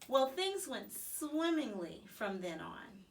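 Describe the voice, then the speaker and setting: Woman reading a kids book out loud, really animated, mic kinda far away. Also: fairly high pitch, slow pace. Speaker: storybook reader. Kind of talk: expressive scripted children's story reading.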